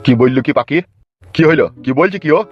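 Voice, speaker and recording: A man's voice: on the high side, quick pace, natural voice. A man voicing a hot-tempered, stubbornly proud village father in a Bengali comedy cartoon, with a rural West Bengal accent and a blustering manner. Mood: gruff, impatient. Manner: blustering, threatening. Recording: dull lo-fi sound, faint music.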